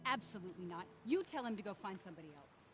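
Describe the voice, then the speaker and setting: An actress playing a tough, protective wife and community leader in a naturalistic video game drama. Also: female voice, medium pitch, lively intonation, rapid-fire, fairly bright tone, soft background music, lightly acted. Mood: angry.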